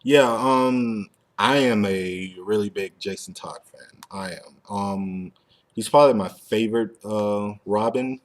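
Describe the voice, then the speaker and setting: A man's voice: lowish voice, slow. A man hosting a casual fan talk show, speaking informally and with plenty of slang. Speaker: podcast host. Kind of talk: casual fan discussion of an animated series.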